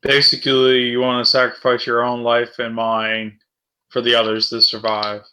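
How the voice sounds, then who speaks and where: Bright-voiced man, tabletop player. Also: medium pitch, monotone, moderate pace. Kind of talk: casual game-table chat.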